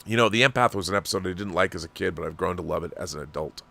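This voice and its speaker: A man's voice: low voice, racing, creaky, sharp tone. A middle-aged American man hosting a casual fan livestream, reading viewer messages aloud and chatting with opinionated asides.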